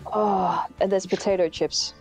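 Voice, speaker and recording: Slow-paced woman in casual chat. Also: medium pitch, steady tone, a little mellow. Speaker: co-voice on a live dub stream. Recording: game music behind the voice.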